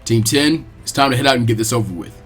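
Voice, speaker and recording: A calm senior ninja leader, as a man reads him in a story. His voice sits low and sounds measured and assured. Faint music plays underneath. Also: breathy edge. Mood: decisive. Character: calm adult male ninja team leader.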